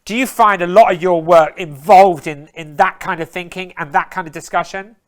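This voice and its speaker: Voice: medium pitch, normal speed. A host running a live interview, full of praise and asking long, rambling questions.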